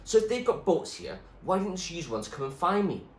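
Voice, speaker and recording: A man's voice: high voice, big pitch swings, a little fast, pitched above the performer's own voice. A man voicing an anxious, stubborn young girl who pleads urgently as she searches for her parents, in a storybook reading. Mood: bitter. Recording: echoey room with clear background noise.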